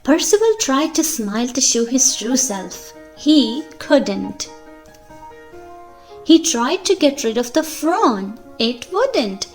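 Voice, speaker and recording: Female storyteller for kids' read-aloud, high register, very varied intonation, unhurried delivery. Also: soft background music. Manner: slow, animated storytelling for young children. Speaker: children's story reader. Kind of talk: expressive picture-book read-aloud.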